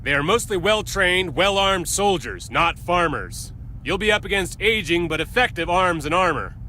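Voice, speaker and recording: Military captain, video game, slightly high pitch, bright forceful tone, authoritative briefing manner. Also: male voice, animated, normal speed, a little hiss or hum. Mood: stern, commanding. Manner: authoritative, formal, clipped. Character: stern male military captain briefing marines.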